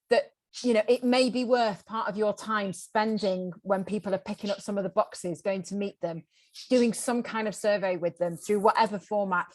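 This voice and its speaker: A woman leading an online workshop over a video call, speaking in a steady, explanatory way. Her voice sits at an ordinary middle pitch. She calls on people in turn and lays out advice point by point. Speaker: workshop facilitator.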